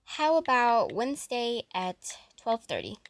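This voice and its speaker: A woman's voice: fairly high pitch, expressive, unhurried, over music. A woman playing a friendly, curious teenage girl in an amateur audio drama, chatty and eager. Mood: cheerful.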